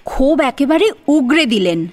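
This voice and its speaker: A woman's voice: high-pitched, sing-song, normal speed, faint music behind. A woman narrating a serialized story dramatically, as its storyteller.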